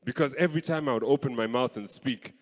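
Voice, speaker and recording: A young man from Kenya doing live stand-up comedy on a stage mic, playful and animated. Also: medium pitch, quick pace. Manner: animated, playful, switches voices. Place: stage in a large hall. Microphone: handheld stage mic. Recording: live hall with long reverb.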